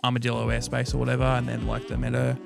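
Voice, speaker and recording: Man with gravelly fry, podcast banter. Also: medium pitch, monotone, racing, music in the background. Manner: informal, sweary. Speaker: podcast host.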